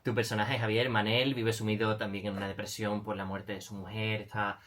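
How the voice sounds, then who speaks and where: Lowish-voiced male co-host from a Spanish show. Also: level tone, brisk, fairly bright tone. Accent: Spanish from Spain. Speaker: radio show co-host, interviewer. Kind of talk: conversational interviewing, prepared questions.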